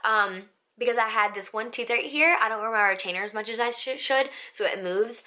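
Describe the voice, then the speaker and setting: A young woman with a raspy voice, chatting casually to camera in a vlog, full of "like" and "um". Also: fairly high pitch, brisk, sharp tone. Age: young adult. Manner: chatty, filler-heavy with frequent "like" and "um". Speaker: vlogger. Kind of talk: casual facts-about-me vlog.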